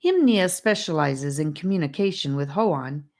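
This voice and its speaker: A woman voicing a serious, wise male guardian who speaks calmly. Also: low-pitched, moderate pace. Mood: earnest. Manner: calm and serious.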